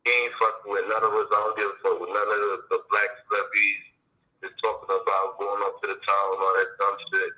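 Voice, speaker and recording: A man's voice: on the low side, level tone, quick pace. A man calling in to a show, talking laid-back and profane. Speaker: caller. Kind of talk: phone-in chat. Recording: dull phone line.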